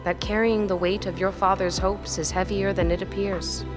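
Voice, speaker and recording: An actress playing an earnest, courteous tutor in an audio drama, speaking in a measured way. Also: female voice, medium pitch, level tone, over loud music, lightly acted. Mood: reflective. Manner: measured, didactic, polite.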